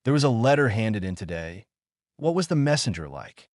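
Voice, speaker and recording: A man voicing a concerned, grave gentleman lawyer in an audiobook, formal and questioning. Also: fairly low pitch, very animated, normal speed, no room sound, clean studio sound. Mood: inquiring. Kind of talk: dramatic audiobook character reading.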